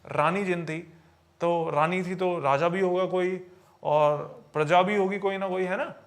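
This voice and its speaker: A man with a high voice and a noticeable creak in it tells stories on a podcast. He talks conversationally, explains as he goes and keeps checking that the listener follows. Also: steady tone, unhurried.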